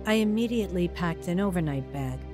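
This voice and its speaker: A woman playing a narrator who looks back on her troubled youth in measured, reflective audio drama storytelling. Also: on the low side, lively intonation, loud music behind the voice, close to an everyday voice. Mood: reflective, regretful. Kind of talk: scripted first-person dramatic narration.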